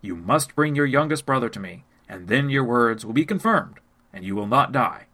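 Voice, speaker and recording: A man's voice: medium pitch, animated, moderate pace, bright, forceful tone. A man reading the part of a stern male ruler in a Bible passage, raising his voice to deliver commands. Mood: commanding. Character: stern powerful male ruler testing strangers.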